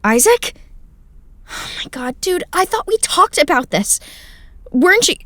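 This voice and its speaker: Horror podcast role: actress as protective young woman, very high voice, urgent, emotional and blunt, dry sound. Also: big pitch swings, a little slow, fairly bright tone, dry recording, exaggerated voice. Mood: panicked. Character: fierce, alarmed young woman, protective friend, high-strung. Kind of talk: horror audio drama acting.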